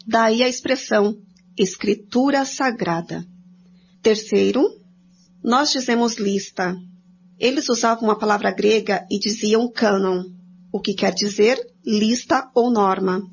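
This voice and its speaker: A woman co-presenting a religious study programme, reading scripted explanatory commentary. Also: fairly high pitch. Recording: dull lo-fi sound with clear noise.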